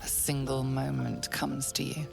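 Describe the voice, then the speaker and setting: A woman voicing a game's ominous narrator, telling the story slowly and intimately in second person with a gravelly, hushed voice. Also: extremely low pitch, level tone, over loud music, full character voice. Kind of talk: game narration. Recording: game score behind.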